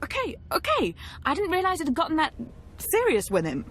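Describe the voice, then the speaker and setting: An actress playing a flippant, spoiled young rich daughter in a video game, sassy and casual. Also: female voice, extremely high pitch, huge pitch swings, brisk, fairly bright tone, slight background noise, heavily acted. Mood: nervous, resigned. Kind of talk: video game dialogue acting.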